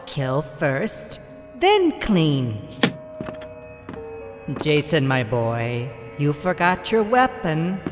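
A man's voice: high voice, heavily acted. A man voicing a doting but murderous ghostly mother, commanding and scolding in a horror parody. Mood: commanding, nagging. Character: doting, murderous, nagging ghostly mother in her killer son's head. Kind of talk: comedic horror parody acting. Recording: long reverb, loud music behind.

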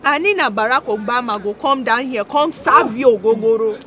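A woman's voice: fairly high pitch, moderate pace, fairly bright tone, close to an everyday voice. A woman with a Nigerian accent playing an exasperated drink seller with a blunt, scolding manner in a comedy film. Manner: blunt scolding, mixing Pidgin and Yoruba.

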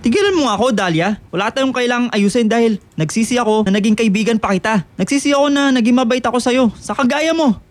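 Fed up young man, quick blunt accusing talk, radio drama. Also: very high voice. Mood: angry scorn. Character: exasperated, scornful young man rejecting a clingy friend. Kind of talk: Filipino radio drama acting.

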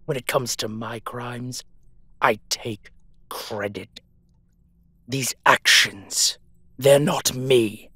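A man playing a cold, ancient, menacing monster in an audio drama, drawing out his threats slowly. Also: medium pitch, sharp tone, breathy edge, no room sound, voice pushed down, exaggerated voice. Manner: slow, drawn-out, threatening delivery.

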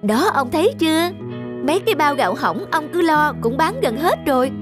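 Cartoon folk tale: brazen, nagging rice-seller wife, high voice, very animated delivery, music underneath. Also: female voice, moderate pace. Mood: scolding, smug. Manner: exaggerated, nagging, emphatic. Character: scheming, sharp-tongued village rice-seller wife, brazen and scolding.

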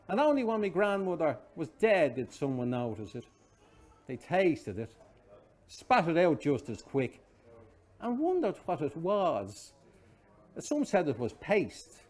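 A middle-aged man with a Dublin accent reads a short story aloud. His voice sits a little high and moves animatedly up and down. He phrases things deliberately and wryly, like a practised storyteller. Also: a little mellow. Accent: Dublin Irish. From Dublin, Ireland. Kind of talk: expressive literary reading, storytelling. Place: small wood-panelled pub snug, indoors.

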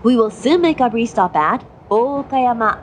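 A woman reads formal station announcements. She is slow and measured, with a fairly high voice. Faint rumble of a running train sits behind her. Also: expressive. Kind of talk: scripted railway announcement. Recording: noisy onboard train recording. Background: train running noise.

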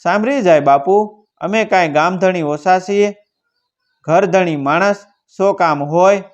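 A man's voice: high voice, unhurried, pitched above the performer's own voice. A man voicing an impoverished, courteous village bard in a folk tale, with a rustic, emphatic Kathiawadi Gujarati delivery. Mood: uneasy, deferential. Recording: dull lo-fi recording.

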